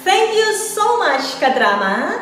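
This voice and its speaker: High, echoing woman presenter. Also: sing-song, slow, a bit bright. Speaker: channel presenter. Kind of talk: promotional outro. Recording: echoey, reverberant, distant from mic.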